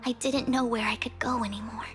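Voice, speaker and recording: An actress playing an earnest, devoted young woman of misfortune in an anime-style game, her voice soft and sometimes breathy. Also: on the high side, fairly even intonation, a little fast, natural voice. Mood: sorrowful. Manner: earnest, confiding. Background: game music.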